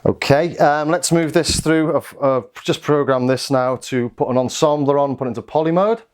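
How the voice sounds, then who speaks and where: A man's voice: on the high side, normal speed. A man giving a casual product demonstration, informal and understated, thinking aloud as he goes. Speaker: synth reviewer and demonstrator.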